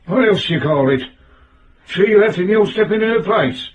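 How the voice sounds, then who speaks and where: A querulous old British dad in a radio play, suspicious and needy. He nags in blunt, short phrases, the voice very high for a man and the sound slightly dull. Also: animated, measured pace. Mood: reproachful, needy. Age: older. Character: needy, suspicious, nagging elderly father. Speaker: actor. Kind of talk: radio drama acting.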